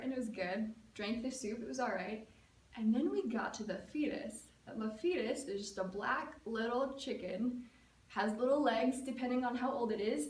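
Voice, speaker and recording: A young American woman tells a personal story as a prepared speech, in a casual, chatty way full of "like" and "um". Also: fairly high pitch. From United States. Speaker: student giving a speech. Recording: echoey room, mic at a distance, faint ambience.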